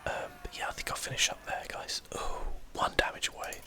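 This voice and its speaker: A game streamer gives ASMR commentary in a hushed, breathy whisper. The sound is very bright and airy, close to the mic. The delivery is casual and self-deprecating, with mild swearing. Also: a little fast. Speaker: gaming channel host.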